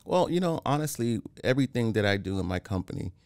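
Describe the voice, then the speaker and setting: A man from Haiti, a real estate developer talking as a podcast guest in a calm, plain-spoken way, often restarting. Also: mid-range voice, fast, clear, resonant tone, no room sound, clean studio sound. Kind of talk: casual interview.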